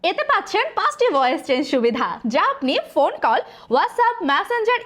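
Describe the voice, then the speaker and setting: A woman with a Bangladeshi accent reading a scripted promotional voice-over, pitching directly to the buyer in a salesy way. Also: a bit bright. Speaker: product advertiser.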